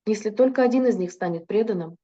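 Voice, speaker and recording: A woman interprets a lecture sentence by sentence, fluent but now and then stopping to correct herself. Her voice is mellow and warm. The recording sounds dull and muffled. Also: medium pitch, quick pace. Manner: fluent, with occasional hesitations and self-corrections. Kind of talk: consecutive interpretation into Russian.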